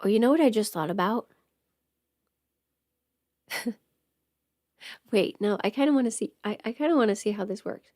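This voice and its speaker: A woman's voice: medium pitch, lively intonation, normal speed, pauses often, a little mellow, no room sound, studio quality. A woman who is sick with a cold, chatting casually on a livestream in a self-deprecating, playfully sarcastic way. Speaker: audio script performer hosting a livestream. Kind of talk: casual rambling livestream chat.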